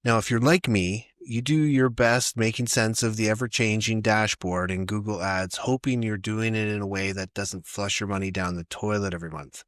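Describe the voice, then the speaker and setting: A man's voice: on the low side, steady tone, moderate pace, few pauses, a bit bright, no room sound, clean studio sound. A man from North America in his forties or older, hosting a casual podcast interview in a friendly, joking, chatty way. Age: 40s or older. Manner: friendly, jokey, chatty with laughs. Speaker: podcast host.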